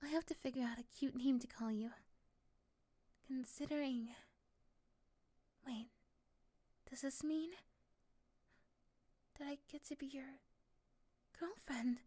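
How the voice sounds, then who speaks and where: Woman acting sweet, high-voiced, slow young woman in love. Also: warm tone. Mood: playful, affectionate. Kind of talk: ASMR romantic roleplay acting.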